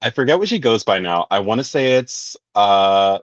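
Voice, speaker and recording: A man with a very low voice speaks as a guest, soft and a little hesitant, filling pauses with ums and shy laughs. The recording is dry and slightly dull. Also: expressive, no room sound. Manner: soft-spoken, laughs shyly, hesitant fillers. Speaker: guest, burlesque performer. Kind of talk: casual interview chat.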